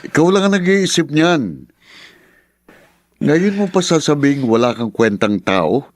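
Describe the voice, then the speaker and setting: A male actor plays a caring Filipino uncle in a radio drama. He speaks frankly and earnestly at a middle pitch, like close family. The recording is dry and close. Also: dry recording, natural voice. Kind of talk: Tagalog radio drama acting.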